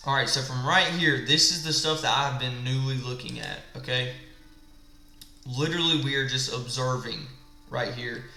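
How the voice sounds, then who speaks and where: A man in an echoey room with a gravelly, smoker-like voice. He sounds tired late at night and walks through his trading setup, asking and answering his own questions. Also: mid-range voice, moderate pace, sharp tone. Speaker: trading instructor. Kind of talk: live screen-share trading walkthrough. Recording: echoey room with faint background music.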